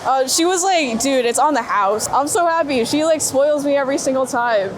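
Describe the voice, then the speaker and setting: A woman's voice: high voice, animated, normal speed. A young woman from Brooklyn, New York, talking casually in informal, slangy speech peppered with "like" and "you know". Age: young adult. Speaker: street photographer being interviewed. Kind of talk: casual chat while walking. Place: outdoors on busy city streets. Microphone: wireless clip-on mic. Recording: noisy city street with traffic.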